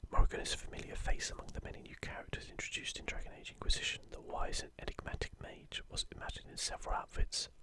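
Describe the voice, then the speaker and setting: Male voice, constant breathy whisper, unvoiced, ASMR commentary, video host. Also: fast talker, hardly any pauses.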